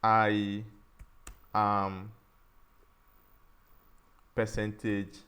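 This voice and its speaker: A man teaching a programming tutorial, explaining each step slowly and often restarting and saying "all right". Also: on the low side, monotone, fairly bright tone, clean tone. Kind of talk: screen-recorded coding tutorial.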